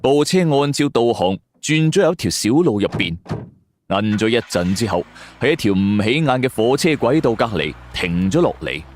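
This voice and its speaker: Man telling suspense stories, very expressive. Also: medium pitch, moderate pace, a little hiss or hum. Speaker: storyteller narrating audio drama. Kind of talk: dramatic suspense story narration in the first person. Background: background music.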